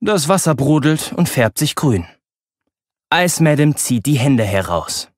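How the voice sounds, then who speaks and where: A man narrates a children's story in a slightly high voice, recorded dry and close. His reading is expressive and wry, as a boy telling about his own family. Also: a little slow, no room sound. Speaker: audiobook narrator.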